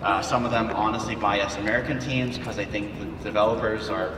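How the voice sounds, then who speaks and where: A man's voice: fairly low pitch, steady tone, fairly quick. Brief: male panel speaker, far off the mic, long hall echo, noisy room. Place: large conference hall.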